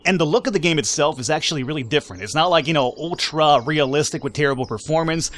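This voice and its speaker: A young man hosting a let's play, commentating casually with lots of fillers and jokes, his voice going a little hoarse. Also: fairly high pitch, fast, a bit bright. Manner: rambling, filler-heavy, joking. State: slightly hoarse.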